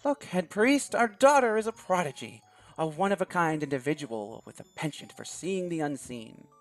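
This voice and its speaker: A man's voice: fairly high pitch, lively intonation, moderate pace, faint music behind, voice pushed up. A man voicing a proud parent eagerly boasting about a gifted child.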